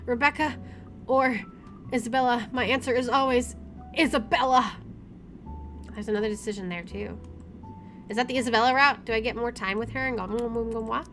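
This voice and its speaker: A woman's voice: a little high, moderate pace, pauses often, a bit bright. A woman doing a let's play, reading story text aloud expressively with informal asides. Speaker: gaming YouTuber. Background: game music.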